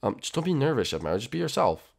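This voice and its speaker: Casting: scheming monster kid, voiced by a man, excitable and bossy, tripping over words, widely varied pitch, amateur comedy skit. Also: racing, creaky. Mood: fussy, bossy. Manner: stammering, excitable. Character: bossy, scheming young boy turtle monster.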